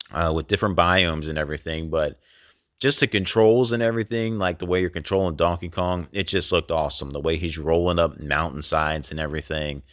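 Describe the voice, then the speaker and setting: A deep-voiced man rambles through a casual video talk about games, full of fillers and loose asides. His voice is a bit worn, as he is losing it. The sound is dry and close, with no room around him. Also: a bit bright, no room sound. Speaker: game collecting channel host.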